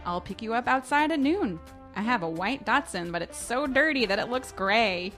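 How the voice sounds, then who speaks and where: A woman's voice: a little high, expressive, normal speed, fairly bright tone. Woman voicing nerdy man, awkward, earnest, stilted delivery, storybook dialogue, music behind. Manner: stilted, awkward, over-literal. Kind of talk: amateur dramatic reading of a novel.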